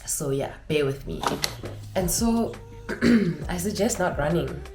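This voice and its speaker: A woman's voice: low voice, lively intonation, takes it slowly, warm tone, reverberant, soft background music. A young woman vlogger sharing casual life advice to camera, sounding nasal and congested from a lingering infection.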